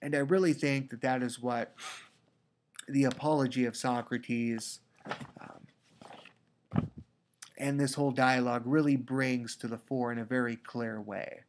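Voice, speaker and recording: Slow congested male lecturer. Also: medium pitch, steady tone, noisy background. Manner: slow, deliberate, with repeated restarts. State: congested with a cold. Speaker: teacher. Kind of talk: recorded lecture. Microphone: computer mic for a screen recording.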